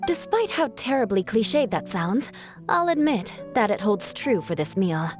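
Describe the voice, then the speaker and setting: A wry, self-assured baker in a video game, with a mid-height voice and lively, expressive intonation. She sounds confident and a little teasing. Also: medium pitch, unhurried, music in the background. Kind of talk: video game cutscene voice acting.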